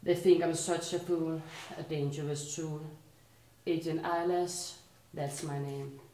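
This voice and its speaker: Danish-accented woman, poet at a live reading, lowish voice, slow rhythmic delivery with soft line endings. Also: fairly even intonation, roomy sound. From Denmark. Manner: slow, rhythmic recitation that sinks quieter at line ends. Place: art and culture community venue.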